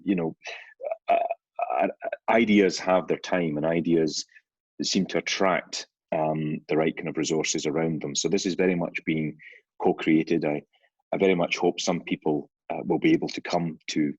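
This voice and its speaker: Scottish man, middle-aged, very deep husky voice, halting wry guest, muffled dry audio. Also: steady tone, no room sound. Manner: hesitant, many restarts and fillers, wry humor. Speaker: guest author. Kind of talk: casual interview chat.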